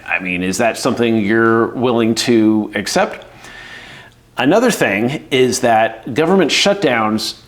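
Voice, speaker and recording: A middle-aged man from the United States, an air traffic controller giving casual, candid career advice with lots of fillers. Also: mid-range voice. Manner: conversational, acts out quoted voices, frequent fillers. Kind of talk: casual explainer video.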